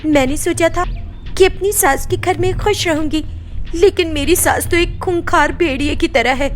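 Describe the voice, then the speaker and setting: A woman's voice: extremely high pitch, normal speed, slight background noise, pitched above the performer's own voice. A woman voicing a meek, sorrowful young daughter-in-law, submissive and plaintive. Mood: worried, sad.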